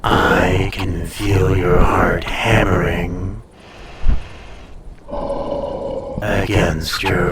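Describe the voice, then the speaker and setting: A man playing a proud, teasing ancient dragon in an intimate roleplay audio, speaking in a condescending, often hushed and breathy way. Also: low voice. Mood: teasing, seductive.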